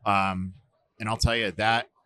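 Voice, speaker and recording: A man in his forties, a survival instructor, telling stories in a relaxed interview, with lots of fillers and restarts. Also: on the low side, a little slow. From United States. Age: 40s. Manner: rambling, many fillers and restarts. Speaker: podcast guest, survival instructor. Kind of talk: casual storytelling interview.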